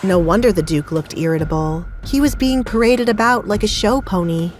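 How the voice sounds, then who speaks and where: A woman's voice: mid-range voice, normal speed, darkish tone, soft background music. A woman reading scripted dramatic narration as the narrator of an audio story.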